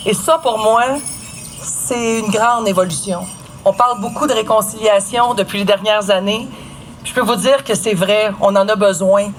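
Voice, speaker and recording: A woman with a Quebec French accent speaks earnestly and emphatically to an outdoor gathering. Her voice is mid-pitched, with some noise around it. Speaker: guest speaker at a public celebration. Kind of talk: public speech to a crowd. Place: outdoor event at an old port. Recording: noisy outdoor public event.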